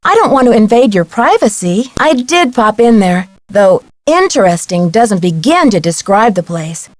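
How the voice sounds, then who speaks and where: A woman's voice: mid-range voice, sing-song, normal speed, dry recording, close to an everyday voice. A woman playing a polite, inquisitive young female amateur detective in scripted video game dialogue. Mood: curious, persuasive. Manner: courteous, inquisitive, clearly enunciated.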